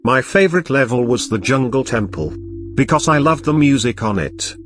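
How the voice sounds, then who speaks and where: A man's voice: medium pitch, expressive, moderate pace. A young man giving a casual game review voice-over, chatty and enthusiastic with joking asides. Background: game music playing.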